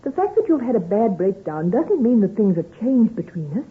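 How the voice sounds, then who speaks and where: An actress playing a devoted, distressed young fiancée with earnest, theatrical pleading in an old-time radio drama. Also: female voice, mid-range voice, big pitch swings, brisk, breathy edge. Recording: muffled, narrow-band old radio recording.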